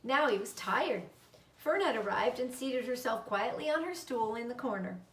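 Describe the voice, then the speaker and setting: A woman's voice: on the high side, expressive, moderate pace. A woman narrating a children's storybook as a read-aloud. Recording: roomy, echoing, distant microphone.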